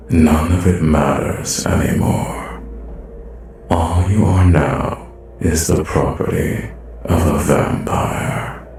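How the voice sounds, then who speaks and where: A man playing an ancient, sadistic, condescending vampire in an ASMR roleplay, speaking in a theatrical, measured way and drawing out his words. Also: rumbling low, a little mellow, exaggerated voice. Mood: menacing.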